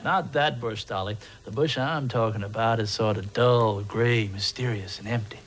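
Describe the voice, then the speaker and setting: A man playing a wry, matter-of-fact guide in a scripted comedy sketch, with a dry, deadpan delivery. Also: lowish voice, moderate pace, close to an everyday voice.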